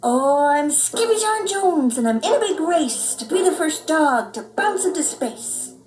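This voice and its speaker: A woman voicing an excitable little boy kitten who thinks he's a chihuahua, bouncy and exclamatory with mock-Spanish flourishes. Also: high-pitched, unhurried, pitched above the performer's own voice. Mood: excited. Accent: put-on Spanish. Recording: roomy indoor echo.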